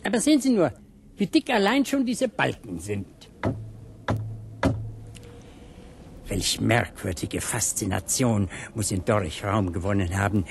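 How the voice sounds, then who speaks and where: An actor playing a knowing, eerie gentleman guide in a radio drama, assured, theatrical and bookish in his wording. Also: mid-range voice, creaky, slight background noise. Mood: fascinated. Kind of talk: German radio drama acting.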